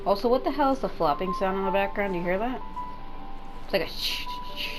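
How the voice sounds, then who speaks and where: A woman's voice: medium pitch, fast talker, low-quality recording. A woman doing casual gaming commentary, rambling and informal with some swearing. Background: game music.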